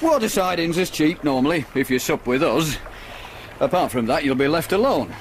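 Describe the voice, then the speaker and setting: An actor playing a blunt but good-natured Victorian narrowboat captain in a radio drama, with rough colloquial speech. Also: male voice, fairly high pitch, animated, normal speed, natural voice. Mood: brusque. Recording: noisy boat ambience.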